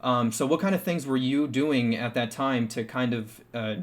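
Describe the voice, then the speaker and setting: A young man hosting a casual live-stream talk show. He is upbeat and chatty and leans on "uh" and "you know" as he introduces guests and asks questions. His voice sits at an ordinary middle pitch. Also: steady tone, normal speed. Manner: upbeat, chatty, frequent fillers. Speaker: stream host.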